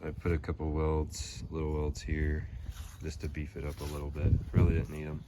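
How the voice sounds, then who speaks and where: Low-voiced young man with a stuffy cold. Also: normal speed, heavy background noise. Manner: relaxed rambling with fillers. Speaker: channel host. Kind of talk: casual how-to vlog. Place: pole barn, then outdoors on a lawn. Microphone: phone at arm's length, then phone camera behind it.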